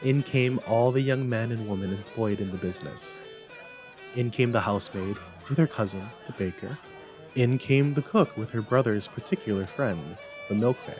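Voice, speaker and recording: A man reading a classic story aloud as narrator, in an expressive, measured, dramatic style. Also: on the low side, over music. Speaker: narrator, library staff reader.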